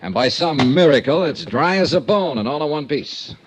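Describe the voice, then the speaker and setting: An actor in a 1950s radio drama playing a wisecracking insurance investigator, narrating in a dry, hardboiled way. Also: male voice, a little high, animated, moderate pace, noisy background, close to an everyday voice. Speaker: star of the radio serial.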